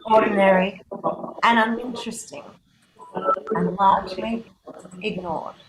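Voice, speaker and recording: Slow, theatrical woman narrator. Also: mid-range voice, fairly even intonation, very noisy. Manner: theatrical, broken into short deliberate phrases. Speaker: narrator of a play. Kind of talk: dramatic scripted narration.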